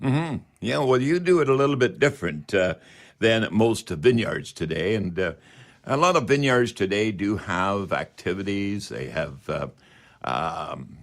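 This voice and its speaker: A man hosting live call-in radio in a folksy, halting, rambling way. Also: fairly low pitch, lively intonation. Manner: folksy, addresses listeners as folks; halting, rambling delivery with many hesitations. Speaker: radio host.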